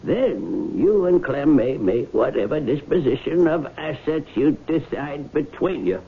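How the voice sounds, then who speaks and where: A man acting a fussy, formal country lawyer in a 1970s radio drama, husky and apologetic. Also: a little high, very animated, unhurried, slight background noise. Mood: measured. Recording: thin phone-like old radio broadcast.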